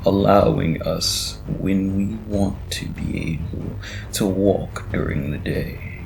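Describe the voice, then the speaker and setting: Ancient gravelly vampire, haughty and slow. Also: male voice, low voice, slight background noise, heavily acted, rough recording. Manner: slow, pompous, theatrical. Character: ancient, haughty male vampire, bald, pointy-eared, menacing. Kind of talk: audio horror comedy acting.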